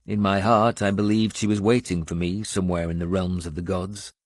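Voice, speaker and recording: A man reading a scripted first-person audiobook in a measured storytelling manner. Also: low voice, flat delivery, no room sound, studio quality. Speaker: audiobook narrator.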